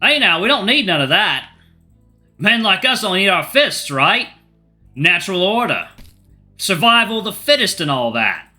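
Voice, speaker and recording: A tough old man with a folksy drawl, steady and unbothered, put on by a man reading a story. The voice sits noticeably higher than the reader's own and comes out bright and forceful. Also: moderate pace, faint music behind, heavily acted. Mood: menacing cheer. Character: tough old male fighter, gruff and steady.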